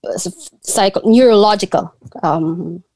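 A woman's voice: on the low side, a little slow, warm tone, no room sound, low-quality recording. A woman in her thirties from the Philippines, a guest in a conversational interview, speaking hesitantly with frequent restarts. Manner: hesitant, many restarts and fillers.

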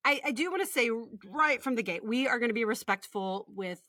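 A woman's voice: a little high, animated, brisk, pushed and bright, no echo. A woman in her forties with a Texan accent, co-hosting a podcast in casual, comedic chat with informal, emphatic banter. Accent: Texan American. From West Texas, United States. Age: 40s. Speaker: podcast co-host.

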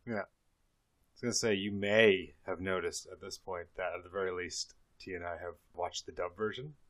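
A man's voice: low voice, normal speed. A man hosting a podcast, chatting casually and laughing as he talks. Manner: animated, laughs while talking. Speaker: podcast host.

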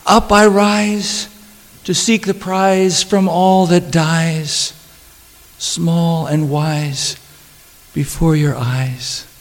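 A man with a somewhat high voice gives a poetry reading. He speaks slowly and deliberately, letting phrases hang with dramatic pauses. The sound carries the long reverb of a hall. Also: steady tone. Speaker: poet reading own work. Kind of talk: poetry reading with spoken asides.

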